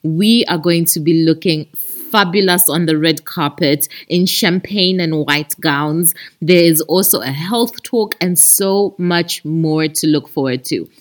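A woman's voice: low-pitched, measured pace. A woman with a Zambian accent hosting a chatty podcast, talking expressively and addressing her listeners like friends. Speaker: podcast host. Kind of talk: chatty podcast monologue with announcements.

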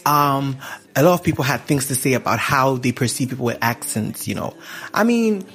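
A man's voice: medium pitch, normal speed. A young man from Ghana hosting a podcast, talking casually and laughing often. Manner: chatty, laughing, animated asides. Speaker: podcast host. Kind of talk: casual monologue.

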